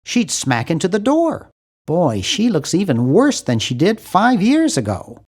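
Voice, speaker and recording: Cartoon game lead: nervous young American geek, high nasal voice for a man, very animated, fussy and earnest. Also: unhurried, exaggerated voice. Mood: nervous, eager. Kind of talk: cartoon adventure game voice acting.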